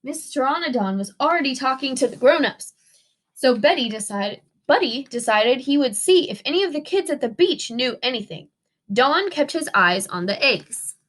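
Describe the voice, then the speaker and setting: High, animated woman reading a storybook. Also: normal speed, rough recording. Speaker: teacher reading aloud. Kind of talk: expressive children's storybook read-aloud.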